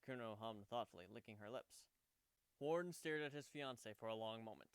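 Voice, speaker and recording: Guy reading a story out loud, narrator style, clean smooth voice, no room sound. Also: fairly low pitch, a little fast, no room sound. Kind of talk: dramatic scripted narration of fan fiction.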